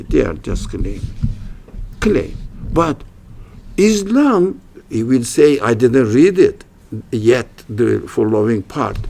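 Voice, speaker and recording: A man with a Turkish accent, a native Turkish speaker, giving an informal religious studies lecture in halting English, restarting phrases and making wry jokes at his own expense. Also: medium pitch, gravelly, warm tone. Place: lecture room at a university religious center.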